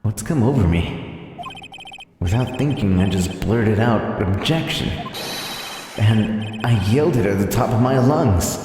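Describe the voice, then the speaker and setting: A man's voice: fairly low pitch, expressive, measured pace, dark tone. A man streaming a video game, reading its dialogue aloud in a dramatic, theatrical way. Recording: game music behind the voice.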